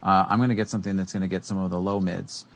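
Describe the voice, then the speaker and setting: A very low-voiced man in his thirties talks through audio gear at a relaxed, unscripted pace. He wanders with frequent fillers and restarts, speaking into a studio microphone in a small room. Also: racing. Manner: rambling, many fillers and restarts. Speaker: co-host. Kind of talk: casual educational tech talk. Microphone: studio microphone on boom arm.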